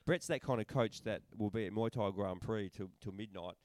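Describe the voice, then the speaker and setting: A man's voice: on the low side, brisk, a little mellow, slight background noise. A middle-aged man co-hosting a podcast, recapping fights in casual, enthusiastic chat. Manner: enthusiastic recaps, many restarts and fillers. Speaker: podcast co-host.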